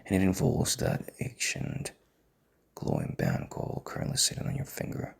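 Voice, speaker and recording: A man playing a proud, stubborn king in an intimate audio drama, commanding, with breathy, gravelly half-whispers. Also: rumbling low, sing-song, moderate pace, exaggerated voice. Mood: scornful, defensive. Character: proud, stubborn, commanding young king, bitter rival husband.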